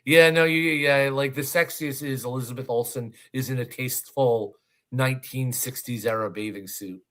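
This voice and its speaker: A man co-hosting a movie countdown show, chatting casually with a hesitant, halting delivery. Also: mid-range voice, fairly even intonation, fairly bright tone. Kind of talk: casual film chat.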